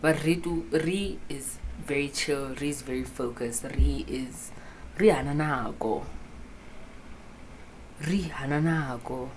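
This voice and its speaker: A woman's voice: rumbling low, slow, darkish tone, slight background noise, low-quality recording. A South African woman in her early twenties chatting casually to camera, rambling and swearing freely, mixing in local words, recorded on a phone with a small mic. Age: 20s. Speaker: vlogger. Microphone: phone camera with a clip-on mic.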